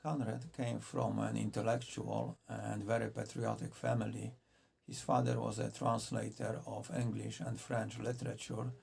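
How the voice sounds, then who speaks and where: Gravelly man reviewing books. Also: on the low side, a little mellow. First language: Polish. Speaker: book reviewer. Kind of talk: scripted book review.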